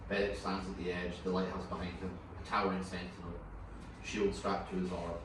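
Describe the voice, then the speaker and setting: A man's voice: sits low, level tone, a little fast. A man narrating stage directions at a scripted table read. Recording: very echoey room, far mic, clear noise.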